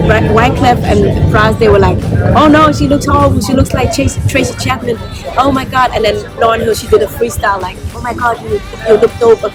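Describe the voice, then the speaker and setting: A woman from Copenhagen, Denmark, speaking as a singer in a casual, expressive festival interview. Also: a little high, poor audio. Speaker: singer and rapper being interviewed.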